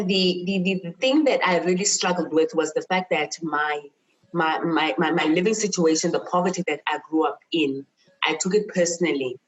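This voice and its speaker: A woman's voice: deep voice, highs cut off. A middle-aged woman with a South African accent, speaking in a reflective, personal interview style, earnest and emphatic, repeating phrases for stress. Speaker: university chemist and lecturer, interview guest.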